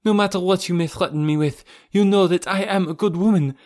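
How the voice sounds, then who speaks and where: A gentle, frightened young noblewoman, as a male narrator puts her on, her voice lifted high and soft with pleading. The reading sounds close and dry, with no room around it. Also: normal speed, no echo, lightly acted. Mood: defiant. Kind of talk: audiobook reading.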